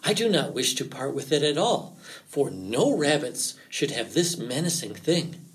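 Sly folktale fox, played by a man: raised, very animated pitch, feigned concern, drawn-out pleading. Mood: reluctant. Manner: theatrical, drawn-out pleading and warnings. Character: sly male fox feigning worry, mock-pleading, warning.

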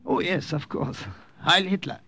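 An actor playing a wary, gentle older German professor with an accent, careful and evasive, in a radio drama. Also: male voice, high-pitched, unhurried, breathy edge, no echo, exaggerated voice. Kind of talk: 1950s radio drama acting.

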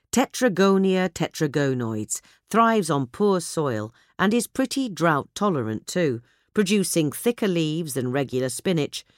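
A woman reads a gardening article in a measured, clear way. Her voice moves lively up and down in pitch. The recording is dry, with no room sound. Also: dry recording. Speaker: reader. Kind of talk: scripted narration.

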